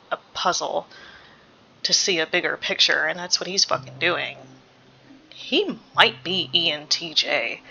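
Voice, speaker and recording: A woman giving casual game reaction commentary, creaky-voiced, analytical and sweary as she thinks aloud. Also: low voice, sharp tone, low-quality recording.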